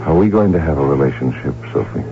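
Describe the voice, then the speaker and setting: An actor playing a courteous, smitten royal heir with a courtly, gently teasing manner, in radio drama style. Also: male voice, extremely low pitch, expressive, quick pace, vocal fry, noisy background, heavily acted. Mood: tender. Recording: muffled old radio broadcast, music behind. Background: music.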